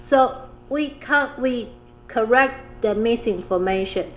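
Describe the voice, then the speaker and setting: A woman public health official speaks animatedly, slipping in laughing asides. Her voice sits on the higher side. Faint background noise hangs behind her. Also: slow. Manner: animated, laughing asides, non-native phrasing. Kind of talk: roundtable panel discussion.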